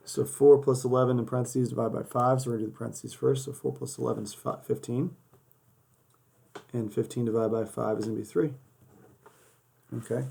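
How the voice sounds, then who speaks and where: A man's voice: mid-range voice, creaky, dark tone, slightly rough. A man teaching a math lesson, working through problems step by step in a casual way while losing his voice. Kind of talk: worked-example math lesson. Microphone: camera over a desk filming paper and hands.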